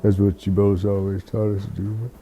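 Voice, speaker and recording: A person telling a reflective bedtime story in a slow, halting, musing way, often repeating words. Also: low-pitched, level tone, warm tone, lo-fi sound, faint music behind.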